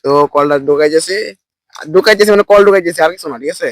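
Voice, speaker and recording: A man's voice: on the high side, rapid-fire, no room sound. A man with a Bangladeshi accent doing casual prank-call banter as host, teasing and often repeating or stumbling over his words.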